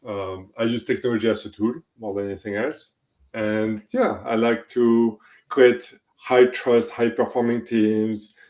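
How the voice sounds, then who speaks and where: A man in his forties with a French accent, giving relaxed, thoughtful interview answers. His voice sits fairly low. The call audio echoes in a roomy space. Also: measured pace. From France. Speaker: guest, technology executive.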